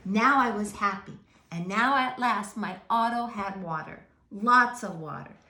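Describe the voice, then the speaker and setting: A woman's voice: on the high side, sharp tone, reverberant. A woman reading a picture book aloud to children, expressively, with questions and asides to her young listeners.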